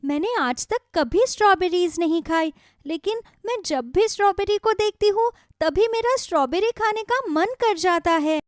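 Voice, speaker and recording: Woman acting little girl, very high pitch, animated, kind, polite, eager, storybook. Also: normal speed, dry recording, studio quality. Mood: eager, delighted. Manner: earnest, polite, childlike.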